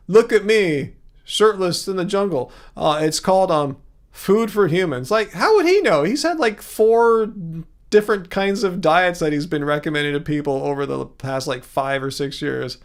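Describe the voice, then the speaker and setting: A man with a slightly raised voice and a gravelly edge chats casually on his livestream. He rambles easily through viewer comments, restarting his sentences often and chuckling along the way. He is recorded close on a studio mic at home. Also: high voice, animated, moderate pace. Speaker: livestream host.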